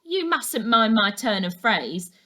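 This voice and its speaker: A woman's voice: on the high side, big pitch swings, slow, sharp tone, full character voice. A woman voicing a flamboyant, gossipy village busybody in her sixties for a children's story, chatty, effusive and dramatic. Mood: amused, nosy.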